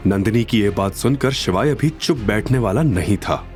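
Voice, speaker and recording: Animated male story narrator over music. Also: lowish voice, moderate pace. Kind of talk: dramatic scripted story narration. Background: background music.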